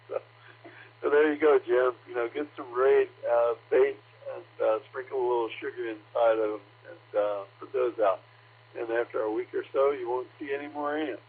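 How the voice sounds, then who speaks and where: A man with a gravelly, husky voice checks in on a ham radio net. He offers casual advice in a laid-back, hesitant way, full of pauses. The audio is narrow and phone-like. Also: medium pitch, steady tone. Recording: lo-fi radio transmission.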